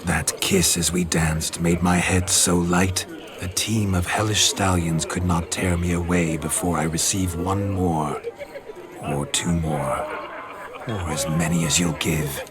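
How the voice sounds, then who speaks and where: A man's voice: sits low, steady tone, unhurried, music in the background. An actor voicing a flamboyant, carefree dead nobleman with theatrical, flirtatious, florid speech. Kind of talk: game cutscene voice acting.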